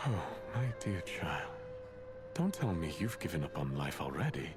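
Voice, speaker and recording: Kind professor in a video game, gentle n apologetic, super lively intonation, bit hesitant, music behind. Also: male voice, sits low, moderate pace. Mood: regretful, tender. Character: kindly, apologetic male professor fond of his student. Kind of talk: scripted game cutscene acting. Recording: background music behind dialogue.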